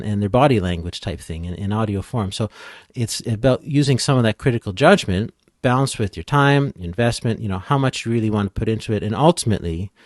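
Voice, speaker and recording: A man giving casual, informal expert explanations as a podcast guest, thinking out loud as he goes. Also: lowish voice, dry recording, studio quality. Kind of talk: casual explanatory interview talk.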